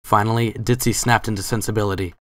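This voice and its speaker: A man's voice: on the low side, steady tone, fast, no room sound, studio quality. A man reading scripted third-person story narration as the narrator of a dramatic reading.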